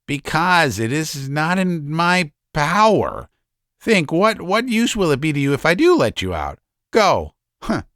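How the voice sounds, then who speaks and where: A man voicing a gentle, guilty middle-aged doctor who speaks softly and stammers. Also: on the high side, sing-song, unhurried, dry recording, clean studio sound. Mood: resigned. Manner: soft-spoken, stammering.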